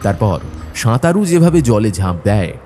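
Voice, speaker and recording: An actor playing a detective's observant companion, narrating the story dramatically in an audio drama. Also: male voice, on the low side, sing-song, normal speed, dark tone, faint music behind. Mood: descriptive, suspenseful.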